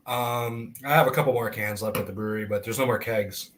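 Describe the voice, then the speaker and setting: A man talks as a brewery owner on a casual beer show. His voice is bright and forceful, low in pitch, and he speaks in an easygoing way. There is a faint hiss of noise behind him. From North Jersey, US. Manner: relaxed, easygoing, quick laughs. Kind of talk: casual podcast chat.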